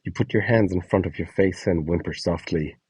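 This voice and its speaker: A man's voice: low voice, a little fast, darkish tone, slightly rough, dry recording, poor audio. A man presenting a scripted guide, announcing prompts and describing short example scenes. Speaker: presenter of an audio donation guide.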